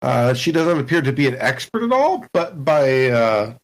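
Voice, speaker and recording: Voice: medium pitch, moderate pace. A tabletop game player describing their character in a casual, playful way. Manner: animated, playful.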